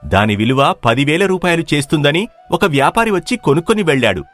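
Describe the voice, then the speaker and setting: A man's voice: on the high side, very animated, brisk, a bit bright. A man playing a humble, hopeful, long-struggling village stone hunter who talks deferentially in a moral story. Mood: humble, resigned. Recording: music playing behind the voice.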